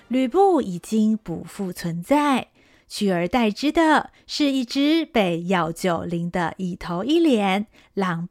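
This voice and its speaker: A woman's voice: medium pitch, dry recording. A woman narrating a historical radio drama in a vivid, dramatic storytelling style.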